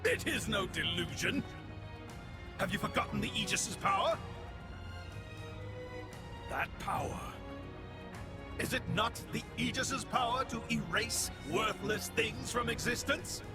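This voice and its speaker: A man's voice: high-pitched, a little slow, long pauses, loud music behind the voice. An actor playing a pompous, fanatical male game villain with a god complex, declaiming grandly. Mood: grandiose, raving. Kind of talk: dubbed video game cutscene acting.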